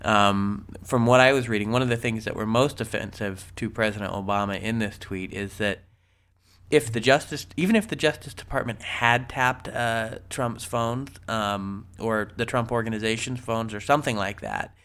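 A man's voice: lowish voice, normal speed, a bit bright, smooth. A man with a cold, sniffly, co-hosting a casual podcast and rambling through the news with many ums and restarts. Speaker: podcast co-host.

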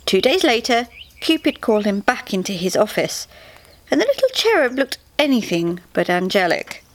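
A woman's voice: medium pitch, big pitch swings, moderate pace. A woman narrating a scripted comic story expressively, as a storyteller reading live. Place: small theatre, live reading. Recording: faint noise, live theatre reading.

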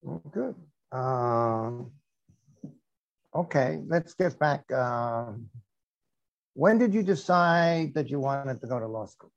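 An older man hosting a podcast, asking questions slowly and adding dry jokes. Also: medium pitch, lively intonation, gravelly, dull audio. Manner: joking, unhurried questioning. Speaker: podcast host, interviewer. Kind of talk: interview questions.

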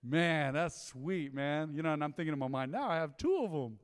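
A man, preaching a sermon in a folksy storytelling way, dropping to low asides and rising to loud emphatic bursts. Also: lively intonation, moderate pace, in a large hall. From Texas. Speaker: pastor. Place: church sanctuary.

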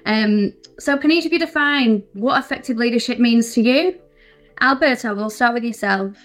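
A woman's voice: on the high side, normal speed, faint music behind. A woman hosting a podcast panel, introducing the guests and moderating the talk in a friendly, upbeat way. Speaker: podcast host.

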